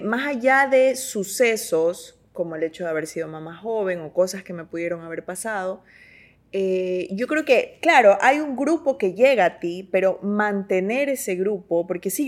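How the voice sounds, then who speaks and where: A woman's voice: mid-range voice, normal speed. A young woman from coastal Ecuador with an Esmeraldas accent, chatting as an interview guest, laughing often and telling stories with lots of energy. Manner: laughs often, animated storytelling. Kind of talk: casual interview chat.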